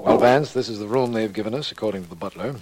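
Man playing a quick-talking radio official. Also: on the low side, over music, close to an everyday voice, low-quality recording. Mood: composed. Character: authoritative, official male investigator. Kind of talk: 1940s radio drama acting. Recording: old radio recording, faint noise.

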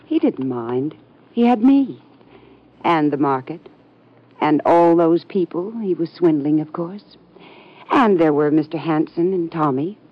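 A cool, sardonic young niece with a very low, dry delivery. This is a muffled vintage radio drama recording. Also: female voice, expressive, unhurried.

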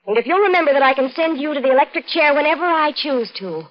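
Casting: scheming, melodramatic sweetheart for vintage radio thriller, high and swooping voice, dull old recording. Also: female voice, animated, fast. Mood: coldly threatening. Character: scheming sweetheart, blackmailer pleading desperately for her life. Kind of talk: 1940s radio acting.

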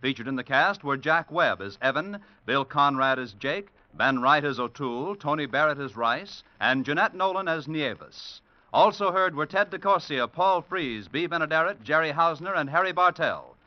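A man's voice: on the high side, lively intonation, moderate pace, no room sound. A man with an American accent reading scripted announcements in 1940s radio style. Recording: muffled, dry old radio recording.

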